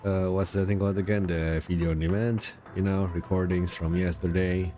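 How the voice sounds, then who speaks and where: A man's voice: sits low, little pitch movement, brisk, dark tone. A man livestreaming a game in casual chat, rambling and self-deprecating as he searches for words, with game music behind him. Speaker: game streamer. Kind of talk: casual live stream chat.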